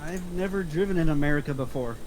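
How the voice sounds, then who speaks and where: A man's voice: on the high side, fast, a little mellow, a little hiss or hum, lightly acted, rough recording. A man playing a nervous, pleading male Irish hitman who is insistent and out of his depth. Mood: reasoning. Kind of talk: script table read.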